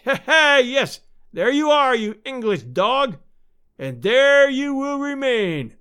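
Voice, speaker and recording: A man voicing a frenzied, vengeful male villain in an adventure audiobook, taunting in a melodramatic, drawn-out way. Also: very high voice, sing-song, bright, forceful tone, clear, resonant tone, voice pushed up, full character voice. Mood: gloating, mocking.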